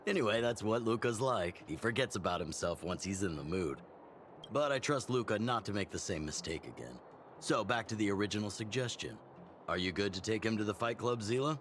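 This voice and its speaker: Laid-back fatherly town chief in a game, lowish voice, teasing. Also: animated, fairly quick, a bit bright, a little hiss or hum. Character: genial older male town chief and mentor. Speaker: community chief.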